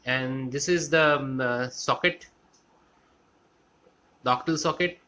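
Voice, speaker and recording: A man giving an unscripted technical walkthrough as a hobbyist, explaining step by step in a halting way and repeating phrases. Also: mid-range voice, sharp tone. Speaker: electronics hobbyist demonstrating a restored amplifier. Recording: clear background noise with clicks.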